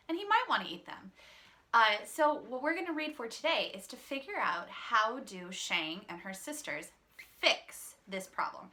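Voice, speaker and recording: A woman reads a storybook to children in a bright voice with animated pitch. She is expressive and explains things simply, in the way of a teacher. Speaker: teacher reading a picture book aloud.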